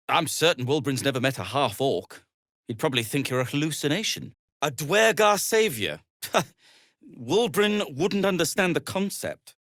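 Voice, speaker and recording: A male deep gnome tinkerer for a fantasy video game, with a slightly high, very animated voice that rises and falls. He is fussy and anxious, choosing formal, wordy phrases and undercutting them with dry wit. The sound is close and dry. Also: no echo, exaggerated voice. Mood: dryly amused. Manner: wordy, formal, hesitant, dryly sardonic. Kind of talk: game dialogue acting.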